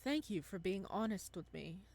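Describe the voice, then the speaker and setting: A woman voicing a calm, probing male therapist in a visual novel, measured and clinical, with a quietly ominous temper. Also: mid-range voice, creaky. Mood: calm, insistent. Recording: music playing behind the voice.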